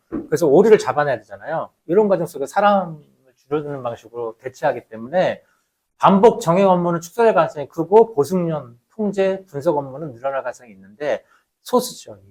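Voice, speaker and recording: A man delivers a lecture in a slightly high voice with highly animated intonation. He explains conversationally and emphatically, throwing out rhetorical questions and letting phrase endings sink away. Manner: conversational, poses rhetorical questions then answers them. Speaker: lecturer, labour researcher.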